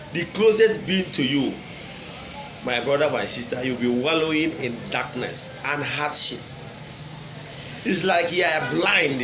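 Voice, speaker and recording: A Nigerian man with an Igbo accent speaks in a fairly high voice as he teaches traditional religion. He talks deliberately and emphatically, repeating points and posing rhetorical questions. The room is echoey, and there is clear noise behind him. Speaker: traditional religion teacher and online video host. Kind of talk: unscripted religious lecture to camera.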